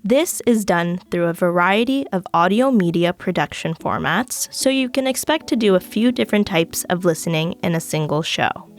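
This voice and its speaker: A young woman, a student host, reading a scripted podcast introduction in a measured way. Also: fairly low pitch, expressive, faint music behind. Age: young adult.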